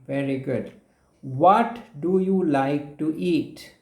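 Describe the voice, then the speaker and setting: A man's voice: a little high, slow pace, roomy sound. A man with a Sri Lankan accent teaching spoken English, patiently repeating questions and giving brief praise over a call. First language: Sinhala. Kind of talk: oral drill over a call.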